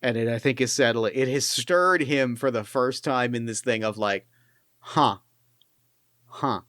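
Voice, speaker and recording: A man running a solo tabletop game, narrating his pilot's story in a reflective, halting way. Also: medium pitch, fairly bright tone, no room sound, studio quality. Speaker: game master.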